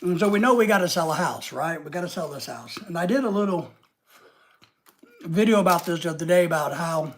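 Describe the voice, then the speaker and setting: A man's voice: fairly high pitch, lively intonation, brisk. A man hosting a casual live stream with a head cold, rambling and reading out viewer comments.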